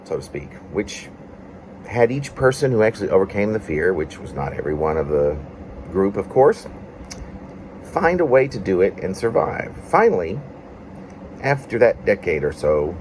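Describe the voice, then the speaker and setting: A man from Arkansas, a poet presenting and reading his own autobiographical work in an unhurried, personal way. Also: fairly low pitch, big pitch swings, darkish tone, a little hiss or hum. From Arkansas, United States. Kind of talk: casual introduction, then reading aloud autobiographical prose poem.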